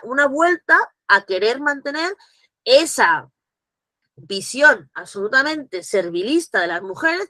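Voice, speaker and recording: Woman on a video call, bright forceful voice, very dry sound, talks fast and acts out quotes. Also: a little high, dry recording. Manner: animated, acts out quoted dialogue, frequent restarts. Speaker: guest speaker. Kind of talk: virtual conference talk, answering questions.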